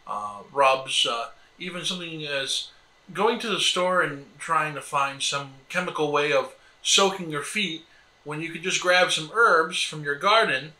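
A man's voice: on the high side, unhurried, sharp tone. An American man in his thirties co-hosting a homesteading vlog, talking casually and in a wordy, meandering way. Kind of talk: casual explanatory chat to camera. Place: indoors at a table in a small sunlit room by windows. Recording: echoey room.

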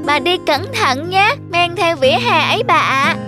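A woman's voice: very high voice, lively intonation, moderate pace, music in the background. A woman voicing an affectionate, earnest little boy in a cartoon. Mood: caring, concerned. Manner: earnest, childlike.

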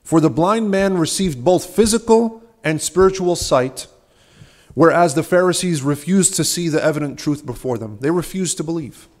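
A young man, a subdeacon, giving an earnest, measured sermon at a church lectern, asking rhetorical questions. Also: a little high, expressive, darkish tone. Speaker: subdeacon preaching. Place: large ornate church, at a lectern. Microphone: lectern microphone.

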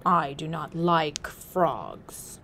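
A woman voicing a proud, scornful male buffalo chief who speaks slowly and dismissively. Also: low voice, expressive, fairly bright tone, a little hiss or hum, heavily acted. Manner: slow, dismissive snorting.

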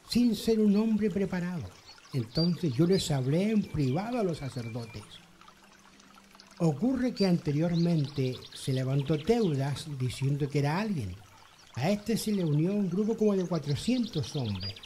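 A wise, cautious rabbi mentor in a radio drama, acted by a man. His voice is fairly high and mellow, swinging widely as he counsels with deliberate care. A faint noisy ambience of trickling water sits behind him. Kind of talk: amateur Spanish-language radio drama acting.

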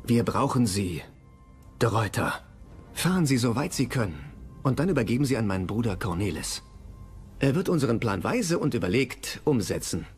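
Resolute Dutch statesman for history docudrama: mid pitch, animated, assured. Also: normal speed, slightly rough, faint music behind. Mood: urging. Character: resolute, persuasive Dutch statesman urging attack. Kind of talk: dramatised history documentary dialogue.

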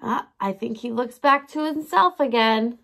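A woman reads a story to little children like a preschool teacher. Her voice is high and swings widely up and down. She takes her time and often turns to the listeners with questions. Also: measured pace, vocal fry. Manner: animated, addressing young children, asking questions. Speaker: early learning teacher. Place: indoors by a large window in a room at home.

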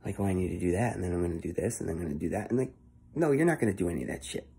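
A young man giving a casual motivational monologue into his phone at home, keeping his voice low and swearing freely. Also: lively intonation, racing, gravelly, warm tone, breathy edge. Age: young adult. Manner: talking low and swearing often, earnest. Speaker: vlogger. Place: indoor room at home. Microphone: phone held close at arm's length.

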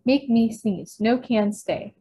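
A slightly husky woman voicing gruff, grumbling cave family elders in a children's storybook, with blunt broken caveman speech. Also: on the high side, slow pace, mellow. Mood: grumbling, firm. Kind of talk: children's storybook read-aloud.

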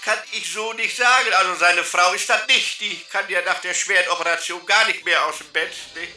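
An actor playing a tactless, rambling ship announcer who gossips bluntly in a radio comedy. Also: male voice, high-pitched, bright, forceful tone, echoey room. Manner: rambling, chatty, blunt, tags sentences with "ne". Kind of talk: radio comedy sketch interview.

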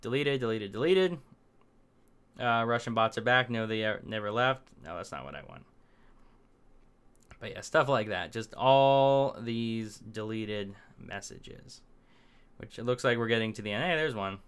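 A man's voice: lowish voice, expressive, measured pace, long pauses, a bit bright, clean tone. A young man riffing unscripted on camera in a small room at his desk, rambling with fillers and restarts. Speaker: political commentary vlogger. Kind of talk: unscripted casual commentary.

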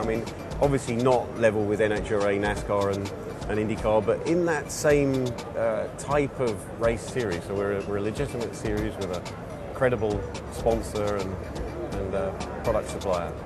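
A middle-aged man, a racing series founder, answering interview questions at a trade show in an animated, self-deprecating way. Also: on the low side. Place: busy indoor trade show hall. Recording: music bed under voice.

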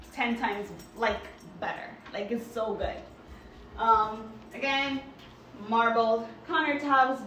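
House-tour vlogger, woman from New York, higher voice, rambling and chatty, mic a bit distant in very echoey bare rooms. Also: a bit bright, slight background noise. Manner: chatty, rambling with many ums and likes. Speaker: vlogger giving a home tour. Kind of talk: casual walking house tour. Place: indoors, sparsely furnished new house.

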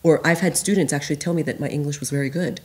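A woman from South Korea speaks on a concert-hall stage panel. Her voice is low for a woman. She talks earnestly, restarts her sentences and breaks into laughs. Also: racing, a little mellow. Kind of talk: panel discussion.

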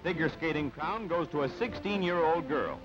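A man's voice: a little high, animated, moderate pace. A man reading scripted newsreel narration in a clipped, punchy, dramatic way on an old soundtrack. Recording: dull band-limited old newsreel soundtrack. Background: music.

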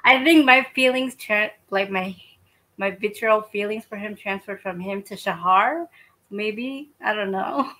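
A woman with a medium-pitched voice chats casually about books. She sounds a little hesitant and qualifies her own remarks, talking on a video call from home. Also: measured pace, bright, forceful tone. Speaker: book discussion panelist. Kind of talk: casual chat.

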